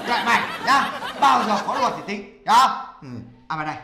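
Man acting high-pitched, bossy, exasperated guy. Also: animated, normal speed, creaky, reverberant, noisy background, exaggerated voice. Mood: teasing, bickering. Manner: bickering, bossy, exclaiming. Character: exasperated, bossy young man, the monkey king reborn. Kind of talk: comedy skit dialogue.

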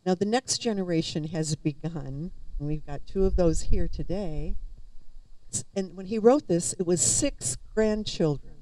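Older lady reading a eulogy poem outside, mellow voice, picked up from a distance. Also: lowish voice, unhurried, dry recording. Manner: soft-spoken, reading from a sheet. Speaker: family member giving a eulogy. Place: outdoors at a waterside memorial gathering. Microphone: handheld mic. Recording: distant outdoor camera recording.

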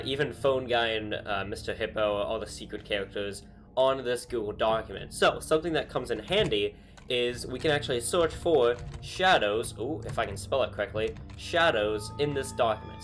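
A young man chatting casually from his bedroom, thinking aloud with lots of fillers. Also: mid-range voice. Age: young adult. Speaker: YouTuber analyzing game dialogue. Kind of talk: casual commentary. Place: small bedroom with string lights.

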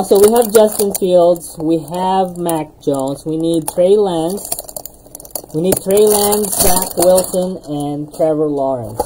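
Woman, card break host, deep dark voice, slow pace, slightly hoarse, faint room hum. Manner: rattles off player names while opening packs, laughs at herself. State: losing her voice. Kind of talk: live card-breaking commentary. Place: indoor streaming desk with card boxes.

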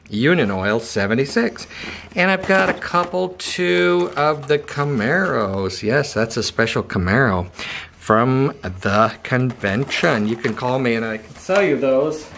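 Middle-aged male collector, upbeat and chatty, slightly higher voice, roomy living-room sound, faint hiss. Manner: chatty, enthusiastic, salesy asides. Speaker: toy car collector and dealer. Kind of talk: casual unboxing vlog. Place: living room indoors, home. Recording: echoey living room, faint background noise.

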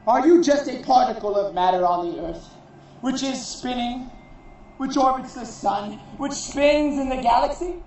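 A man performing a theatrical monologue as a proud, defiant warrior, solemn and declamatory, in deliberate phrases. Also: very high-pitched, dark tone, a little hiss or hum. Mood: challenging. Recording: distant, very echoey, muffled recording.

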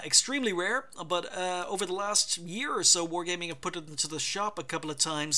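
A man's voice: high-pitched, pushed and bright. An Irish man with a slightly sore throat, giving casual, rambling commentary on his game garage, full of ums and self-corrections. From Ireland. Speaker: gaming channel host. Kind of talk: casual garage review commentary over gameplay.

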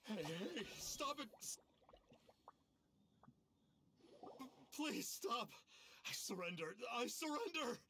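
A man's voice: very high-pitched, big pitch swings, measured pace, sharp tone, slight background noise, full character voice. An actor voicing a theatrical, cowardly male impostor for a video game, with pompous, archaic, melodramatic phrasing. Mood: panicked, pleading. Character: cowardly, theatrical male impostor turned fawning devotee, a spirit.

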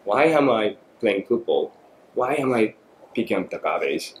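Japanese man, forties, podcast guest, halting and reflective, echoey studio. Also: lowish voice, very animated. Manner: thoughtful, halting, many fillers. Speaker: guest photographer. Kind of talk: podcast interview. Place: small studio room. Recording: echoey room, faint noise.

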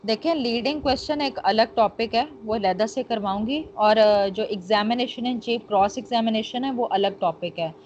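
A woman's voice: fairly high pitch, normal speed, hardly any pauses, a little mellow. A woman with a Pakistani accent lecturing as a law teacher, reading notes aloud and explaining, mixing Urdu with English terms. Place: online screen-share class. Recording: muffled lo-fi online call, background noise.

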